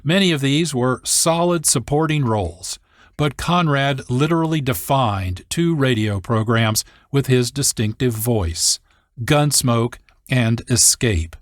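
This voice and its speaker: Dry close-miked sound, male host, mid-range pitch, measured scripted narration, explanatory. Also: no echo. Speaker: radio show producer and host.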